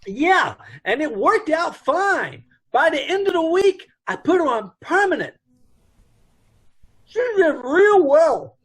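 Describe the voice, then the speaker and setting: A man's voice: very high-pitched, big pitch swings, takes it slowly, full character voice. A man playing a jittery, rambling dance hall owner. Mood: anxious. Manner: rambling, ungrammatical, anxious. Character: nervous middle-aged male dance hall owner. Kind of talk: old-time radio drama recreation.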